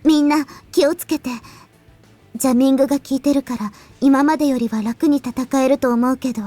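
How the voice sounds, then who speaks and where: A woman voicing a small, earnest and caring teenage girl in an anime-style game, speaking gently. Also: high voice, fairly even intonation, very fast, no echo, soft background music, natural voice. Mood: focused warning. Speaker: voice actor in a visual novel.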